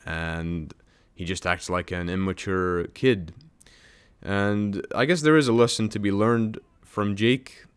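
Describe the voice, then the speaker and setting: A man's voice: on the low side, steady tone, takes it slowly, clear, resonant tone. A man giving casual, mocking commentary while reading a news article, rambling with many fillers and restarts. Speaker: commentary YouTuber.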